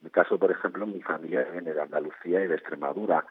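Guest novelist, fifties, low husky voice, tinny line, halting and reflective. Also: male voice, steady tone. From Spain. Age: 50s. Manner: thoughtful, many repeated words and tag questions. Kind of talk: reflective interview answers.

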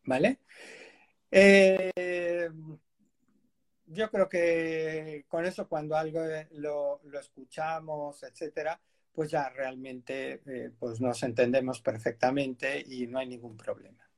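A man's voice: on the high side, flat delivery, slow pace. A male radio host in casual conversation, rambling and laughing often as he talks, recorded on a studio microphone.

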